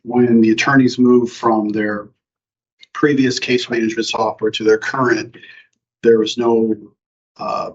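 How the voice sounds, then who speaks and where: Man, county staff, halting meeting talk, lots of fillers, mid pitch, dull lo-fi recording. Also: level tone. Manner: halting, many fillers and restarts. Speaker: county staff presenting to board. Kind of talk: informal meeting presentation. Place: county board meeting room.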